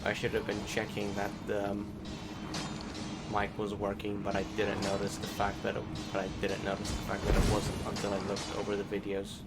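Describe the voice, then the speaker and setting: A man with a fairly low voice gives casual commentary as he plays a video game. He talks in offhand, unscripted asides. Loud game music plays behind his voice throughout. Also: monotone, moderate pace. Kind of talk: casual let's-play commentary.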